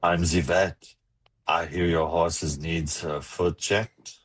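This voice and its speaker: Guy acting as super deep husky romcom dude, earnest, kinda bold, table read. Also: flat delivery, slow, a bit bright, exaggerated voice. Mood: flirtatious. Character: male lawyer, country vet, earnest and bold romcom charmer.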